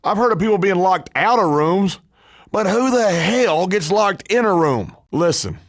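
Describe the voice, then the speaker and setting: A man's voice: sits high, expressive, measured pace, dry recording, studio quality. A man playing a cocky, scheming, trash-talking pro wrestler in scripted video game dialogue, with a brash, emphatic delivery. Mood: indignant.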